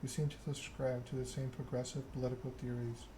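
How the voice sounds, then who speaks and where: A man narrating a story game aloud in ASMR style, reading in a measured way with occasional stumbles. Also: medium pitch, little pitch movement, background noise.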